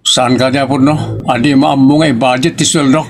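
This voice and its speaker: A man's voice: medium pitch, steady tone, moderate pace, a little hiss or hum, natural voice. A man with an Ilocos accent playing an aggrieved married son who questions his parents insistently in a drama. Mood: arguing. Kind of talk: Ilocano audio drama acting.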